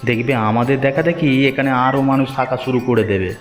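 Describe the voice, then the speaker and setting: A man's voice: mid-range voice, steady tone, quick pace, in a large hall, faint music behind, lightly acted. A man voicing a mild, cautious village husband in a Bengali cartoon. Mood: reluctant. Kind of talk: Bengali moral-story cartoon dubbing.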